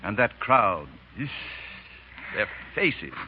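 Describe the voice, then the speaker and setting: A man's voice: lowish voice, big pitch swings, slow, breathy edge, exaggerated voice. An actor in a 1930s radio drama playing a grave, compassionate French man of letters, speaking with earnest drama. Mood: grave, moved. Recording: dull, hissy 1930s radio recording.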